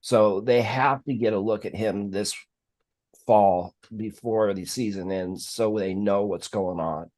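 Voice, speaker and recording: A man hosting a casual sports talk stream, explaining things in a measured, analytical way. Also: on the low side, steady tone, a little mellow. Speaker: sports live stream host.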